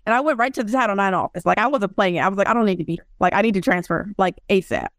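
A woman's voice: medium pitch, very fast, no room sound, clean studio sound. A young woman, a guest in a casual interview, telling stories and acting out what people said. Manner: animated storyteller, acts out quotes.

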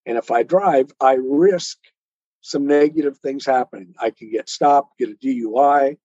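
A man's voice: mid-range voice, moderate pace, a little mellow. A man speaking as an interview guest, earnestly explaining spiritual ideas step by step in a didactic way. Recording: muffled, roomy, lo-fi sound.

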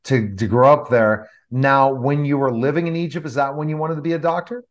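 Friendly halting male podcast interviewer. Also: medium pitch, steady tone, lo-fi sound.

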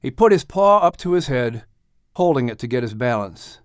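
A man narrating a children's audiobook in an expressive, scripted storytelling style. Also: mid-range voice, normal speed, clean tone, no echo, clean studio sound.

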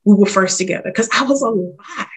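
A woman's voice: mid-range voice, a little fast, breathy edge. Candid woman in her forties. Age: 40s. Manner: lively, talks straight from the heart, calls listener honey. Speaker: ministry leader, guest. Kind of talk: casual interview chat.

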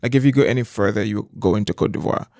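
A man's voice: fairly low pitch, fast, a little mellow, no room sound, clean studio sound. A middle-aged man from Liberia, explaining things conversationally as an interview guest. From southeastern Liberia.

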